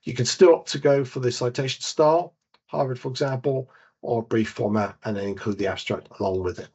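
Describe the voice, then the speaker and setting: A man with a British accent leading a software demonstration webinar as a database trainer. He explains each step while he clicks through the screens and corrects himself now and then. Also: mid-range voice, brisk, husky. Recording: dull lo-fi webinar audio.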